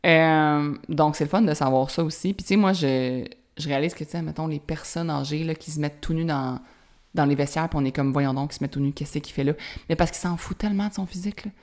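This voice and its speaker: A woman's voice: deep voice, quick pace, a little mellow. A woman in her thirties with a Quebec French accent, hosting a solo podcast in a casual, chatty way full of fillers and English slang. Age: 30s. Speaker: podcast host.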